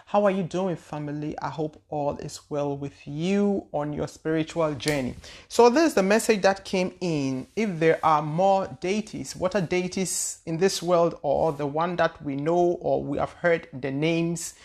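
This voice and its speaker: A woman's voice: deep voice, unhurried, darkish tone. A woman from Ghana, hosting her own channel as a spiritual teacher and answering viewer questions in a loose, searching way that often repeats words and trails into hesitations. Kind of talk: casual spoken talk answering viewer questions.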